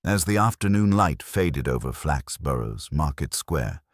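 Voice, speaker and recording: A man reading scripted mystery narration in a measured, formal storytelling manner. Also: rumbling low, animated, no room sound, clean studio sound.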